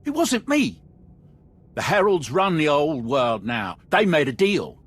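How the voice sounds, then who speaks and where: A husky, animated shifty street informant. He is jittery and eager to placate, stumbling through slangy lines in a video game. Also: a little high, a little slow, full character voice. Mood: defensive.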